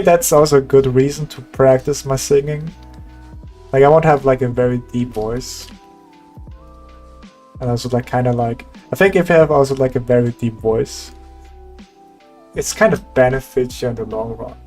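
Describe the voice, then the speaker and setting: Slow, easygoing young man chatting. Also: medium pitch, creaky, a little mellow. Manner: laid-back, laughs often, says "damn, man" a lot. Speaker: streamer chatting with viewers while drawing. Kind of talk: casual livestream chat.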